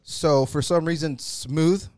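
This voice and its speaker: A man's voice: a little high, steady tone, slow pace, a little mellow, dry recording. A young man co-hosting a casual podcast with a friend, laid-back and slangy, swearing casually. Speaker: podcast co-host. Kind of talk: casual chat.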